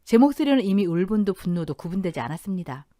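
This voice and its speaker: A woman in her thirties tells a dark family drama in the first person, her voice rising and falling in wide, lively swings. The delivery is intense and emotional. The sound is close and dry, with no room around it. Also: medium pitch, fast, no echo. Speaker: narrator of a first-person story. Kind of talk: dramatic audio-drama storytelling narration.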